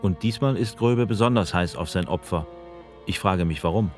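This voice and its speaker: A man narrating a documentary in a measured, slightly brooding way. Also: deep voice. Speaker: narrator and interviewer. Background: soft guitar music.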